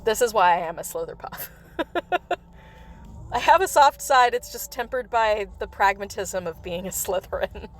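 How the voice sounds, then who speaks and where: A woman chats loosely to her viewers during a game livestream, wandering from topic to topic. Her voice sits at a middling pitch and has turned slightly raspy from coughing. She laughs easily between thoughts. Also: animated, moderate pace, slight background noise. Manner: rambling, chatty, with frequent laughs. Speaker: livestream host. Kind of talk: casual chat while playing a mobile game.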